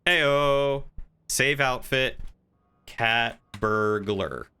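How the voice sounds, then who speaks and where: Man, bright clear voice, mid pitch, unhurried, chatty livestreamer, playful, thinking out loud. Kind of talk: casual gaming livestream chat.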